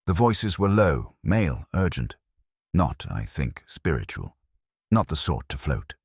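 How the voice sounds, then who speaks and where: A man's voice: rumbling low, sing-song, unhurried, slightly rough, no echo, studio quality, heavily acted. A man reading a frail, pious eccentric lodger in a mystery story, grave, fussy and pedantic. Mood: grave, self-important.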